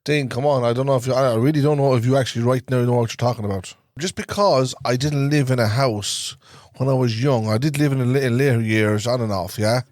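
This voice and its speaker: A man's voice: mid-range voice, a little fast, darkish tone, no echo, clean studio sound. A man, co-hosting a podcast, who talks earnestly and firmly defends his point. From Ireland. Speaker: podcast co-host. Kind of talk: casual chat.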